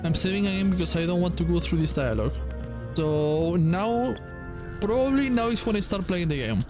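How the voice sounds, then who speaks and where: High-pitched man playing games with music behind. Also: lively intonation, normal speed. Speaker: video game streamer. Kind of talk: let's play commentary, reading game dialogue aloud. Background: game music.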